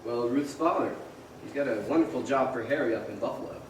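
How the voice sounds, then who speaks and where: A man's voice: mid-range voice, a little fast, lightly acted. An actor playing a stubborn, awkward, grumbling young small-town man in an old-time radio play. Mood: glum. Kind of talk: old-time radio drama stage acting. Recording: echoey theater, distant mic, faint noise.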